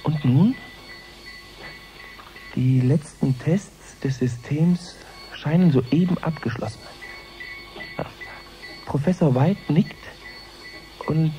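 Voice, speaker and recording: Bright-voiced, earnest live reporter, male. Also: on the high side, slow, pauses often, faint music behind, poor audio. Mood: hushed suspense. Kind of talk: live broadcast reportage, radio drama.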